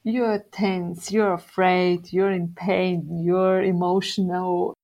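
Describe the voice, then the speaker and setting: A young woman from Bulgaria with a Bulgarian accent, a guest in a casual interview, telling things in an unhurried way and laughing often. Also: lowish voice, level tone, a little mellow.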